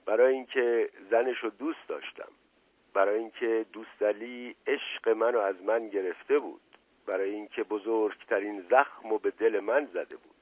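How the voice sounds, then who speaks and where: Casting: male reader as sly storybook nobleman under questioning, halting, sighing, melodramatic, husky, narrow radio-like sound. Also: medium pitch, animated. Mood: lovelorn, theatrical. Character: wily aristocratic gentleman suspect, mock-bashful and theatrically lovelorn. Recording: roomy lo-fi radio-like narrow band.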